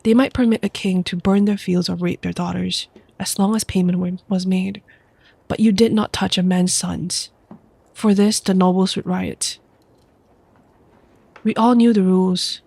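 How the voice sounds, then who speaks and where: A young woman with a stuffy nose from a cold, reading a book aloud softly as an ASMR streamer into a close microphone. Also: on the low side, fairly even intonation, gravelly, slightly rough, no room sound. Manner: soft, quiet, unhurried reading voice. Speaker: VTuber streamer.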